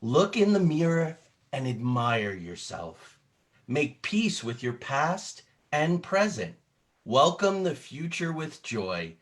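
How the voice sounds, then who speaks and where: A man's voice: a little high, big pitch swings, slow pace. A man who works as an actor and children's storyteller, speaking with a theatrical manner. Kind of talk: casual explaining and dramatic read-aloud.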